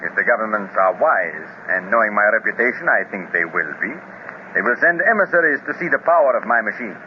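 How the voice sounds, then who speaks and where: A man's voice: fairly low pitch, animated, lightly acted. A man voicing a pompous, gloating master villain. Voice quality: slow, measured, gloating delivery. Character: scheming male master villain, pompous and menacing. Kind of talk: 1930s radio serial acting. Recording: hissy, dull 1930s radio transcription.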